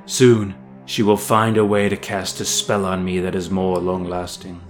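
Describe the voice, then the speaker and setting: A man's voice: low-pitched, little pitch movement, measured pace, faint music behind. A man voicing a captive prince who speaks formally and fatalistically in a fantasy audio story. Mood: resigned despair. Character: despairing young male prince, resigned to enchanted captivity.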